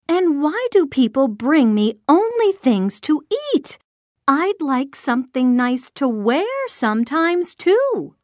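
A woman's voice: high-pitched, sing-song, slow pace, a little mellow, no room sound, studio quality, voice pushed up, full character voice. A woman voicing a grumpy, demanding bedridden mother in a storybook, querulous and hard to please. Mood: complaining. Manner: querulous, complaining, drawn out. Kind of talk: storybook character dialogue.

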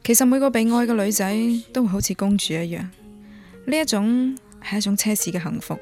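Actress doing frank young girl bestie in cantonese radio drama, guangdong accent, mid pitch, music under it. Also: a little fast, a little mellow, close to an everyday voice. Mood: gently frank. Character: frank young woman, the heroine's confiding friend.

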